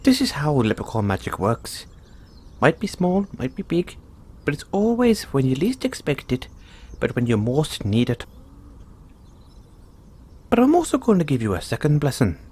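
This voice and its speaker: A man's voice: on the high side, wildly swooping pitch, normal speed, pauses often, a little mellow, husky, full character voice. A man voicing a kindly, playful leprechaun in an audio roleplay, folksy and reassuring. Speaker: roleplay performer. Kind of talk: audio roleplay, speaking softly to one listener.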